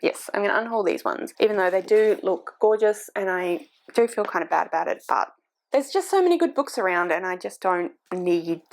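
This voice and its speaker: A woman's voice: mid-range voice, expressive, fairly quick. A woman in her forties chatting casually to camera as a book vlogger, thinking aloud and poking fun at herself. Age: 40s.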